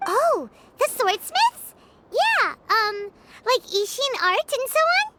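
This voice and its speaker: A woman voicing a tiny, excitable female fairy companion who talks about herself in the third person, with a high squeaky voice. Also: slow pace, very noisy, heavily acted. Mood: surprised. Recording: game music behind the voice.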